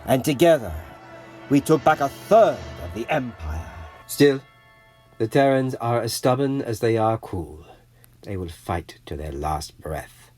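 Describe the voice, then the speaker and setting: An actor playing an imperious, self-assured rebel warlord, speaking in a measured, grandiose way in an audio drama. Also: male voice, medium pitch, big pitch swings, a little mellow, husky, soft background music. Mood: grim, resolute. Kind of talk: dramatic audio comic acting.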